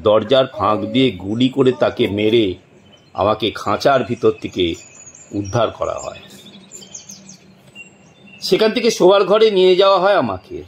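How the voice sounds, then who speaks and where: A man narrating a suspense story from a script in a dramatic, deliberate way, with long pauses. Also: mid-range voice, slight background noise.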